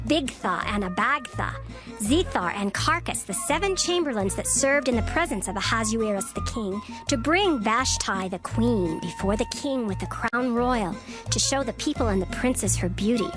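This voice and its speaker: Woman narrating scripture, slightly high voice, music playing under. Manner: steady, formal reading. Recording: narration over music bed.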